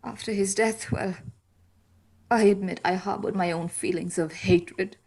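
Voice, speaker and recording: A woman's voice: mid-range voice, steady tone, unhurried, a little mellow. Woman acting a bitter, grave confessed murderess. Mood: bitter, confessing. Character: bitter, dying murderess making her confession. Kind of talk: radio play acting.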